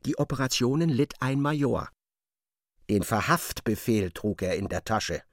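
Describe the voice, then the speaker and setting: A man's voice: mid-range voice, expressive, a little slow, dry recording, clean studio sound. A man narrating a literary radio drama in a scripted, storytelling style. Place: dry studio.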